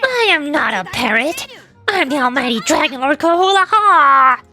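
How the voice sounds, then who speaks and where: An actress voicing a boastful, easily offended little dragon lord in a video game, pompous and haughty. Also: female voice, sits high, very animated, moderate pace, sharp tone, soft background music, full character voice. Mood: indignant.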